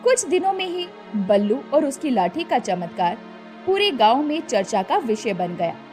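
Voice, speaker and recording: A woman narrates a children's moral tale from a script. Her voice is high and very animated, with music playing behind her. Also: normal speed.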